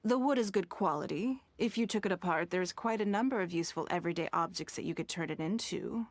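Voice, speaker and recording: A woman's voice: lowish voice, fast talker, dry recording. An actress playing a shrewd, wealthy noblewoman in a video game, composed, assured and refined. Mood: appraising. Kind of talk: video game character acting.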